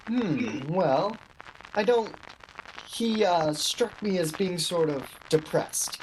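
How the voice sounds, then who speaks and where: A woman acting in a radio play as an awkward, slyly teasing male shop clerk, with a halting, understated delivery. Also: on the low side, animated, a little mellow, a little hiss or hum, rough recording. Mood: teasing, mock-sympathetic. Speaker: amateur actor.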